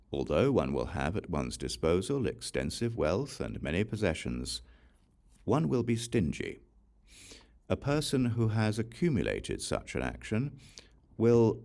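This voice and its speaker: Male audiobook narrator for scripture: low register, strongly varied intonation, measured and formal. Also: vocal fry, a little mellow. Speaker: audiobook reader.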